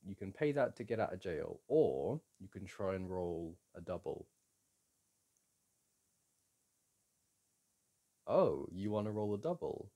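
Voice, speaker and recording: A man playing a playful boyfriend in an intimate audio roleplay, chatting casually, teasing and coaxing the listener in a gentle, halting way. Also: sits low, sing-song, heavily acted. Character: playful, teasing, soothing boyfriend at a board game.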